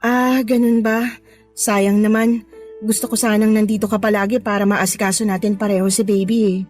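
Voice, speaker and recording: A woman's voice: medium pitch, level tone, moderate pace, a little mellow, faint music behind, natural voice. A woman with a Filipino accent playing a coaxing, sweet-talking, money-minded young mistress in a Tagalog radio drama.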